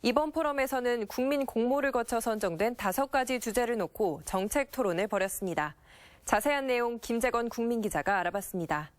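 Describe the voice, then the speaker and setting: A woman's voice: on the high side, lively intonation, a little fast. A woman anchor reading a scripted news introduction.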